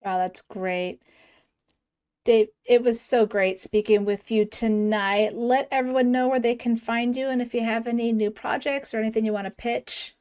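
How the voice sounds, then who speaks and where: A woman's voice: a little high, steady tone, normal speed, darkish tone, clear, resonant tone. A woman hosting a podcast interview, wrapping up with an enthusiastic tone. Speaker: podcast host. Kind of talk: casual interview.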